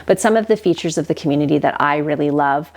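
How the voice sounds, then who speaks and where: A woman's voice: sits low, fast talker, a little mellow. A woman with a stuffy, nasal head cold, hosting a casual, chatty kitchen vlog at home. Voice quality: nasal, congested. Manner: friendly, chatty, rambling with fillers. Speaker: homestead channel host.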